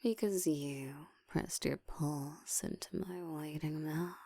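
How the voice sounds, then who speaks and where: A woman voicing a dominant, seductive ancient vampire in an intimate, breathy whispered ASMR roleplay, teasing and deliberate. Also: deep voice, dark tone, dry recording, clean studio sound, heavily acted. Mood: teasing, possessive. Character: dominant, seductive ancient female vampire, hungry yet controlled.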